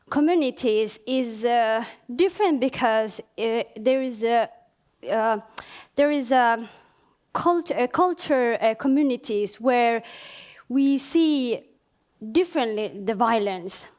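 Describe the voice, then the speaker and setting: A woman with a fairly high voice speaks at a conference. She was born in Somalia and grew up in Finland. She talks hesitantly, pausing on filler sounds and restarting sentences. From born in Somalia, raised in Finland. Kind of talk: conference presentation. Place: conference venue.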